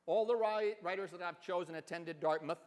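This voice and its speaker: A man giving a theatrical stage reading, with a deliberate, expressive storyteller's delivery. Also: sits high. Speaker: professor giving a dramatic reading. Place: stage in a hall, indoors. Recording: reverberant hall.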